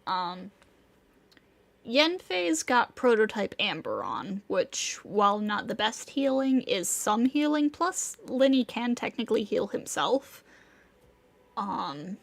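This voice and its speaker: A woman's voice: mid-range voice, vocal fry, fairly bright tone. A woman giving casual live-stream commentary as she plays a video game.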